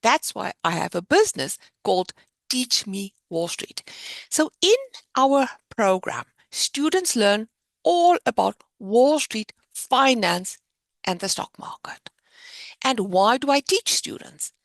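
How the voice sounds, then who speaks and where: A woman with a South African accent presents her program over a video call with upbeat, emphatic energy. Her voice sits fairly high and swoops lively up and down, with a gravelly edge. The sound is dry and close. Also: slow pace, no echo. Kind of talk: virtual fair presentation.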